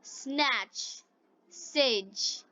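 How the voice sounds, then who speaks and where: Slow, high-pitched woman narrating for learners. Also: sharp tone, no room sound. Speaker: English teacher narrator. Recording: dull lo-fi recording.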